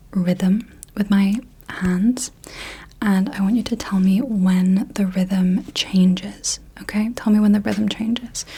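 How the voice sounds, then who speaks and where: A woman speaking in a soft, breathy whisper as a gentle, encouraging ASMR host leading the listener through little games. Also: mid-range voice.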